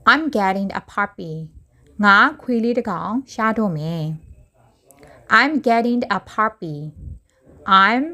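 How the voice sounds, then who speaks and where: A woman teaching English phrases, repeating each slowly word by word and then giving a translation. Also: medium pitch, sharp tone. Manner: slow, deliberate, word-by-word repetition.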